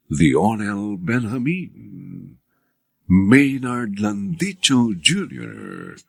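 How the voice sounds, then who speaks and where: A man's voice: lowish voice, gravelly, fairly bright tone, husky, dry recording. A man narrating and announcing a radio drama in a solemn, grandiose, theatrical scripted style, stretching out titles.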